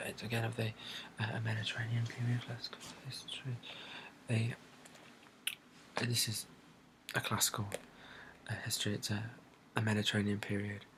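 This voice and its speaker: A soft-spoken British man in a breathy ASMR bookshop roleplay, describing books in a hesitant, rambling way and repeating his phrases. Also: lowish voice, completely flat delivery, moderate pace, pauses often, background noise. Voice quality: breathy, soft, often dropping to a whisper. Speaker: ASMR creator playing a bookshop assistant.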